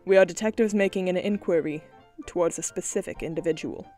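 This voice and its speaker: A woman's voice: fairly low pitch, brisk, warm tone, faint music behind, natural voice. A woman voicing a male robot detective who speaks in stiff, formal, mechanical phrases. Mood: flat.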